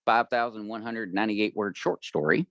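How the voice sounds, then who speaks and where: A man's voice: fairly low pitch, moderate pace, a bit bright, no echo. A man hosting a casual podcast interview, asking loose, filler-heavy questions. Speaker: podcast host.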